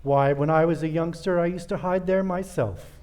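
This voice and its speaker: Guy playing sinister crook nephew, dark smooth low-key tone, bossy n falsely polite, old radio comedy. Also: normal speed, natural voice. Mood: gleeful scheming. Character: menacing, scheming criminal nephew, coolly commanding.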